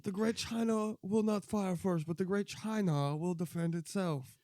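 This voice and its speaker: A man voicing a boastful Chinese government spokesman who talks stiffly in slow, broken English. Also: sits high, warm tone, no echo, clean studio sound, voice pushed up, natural voice. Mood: solemn defiance.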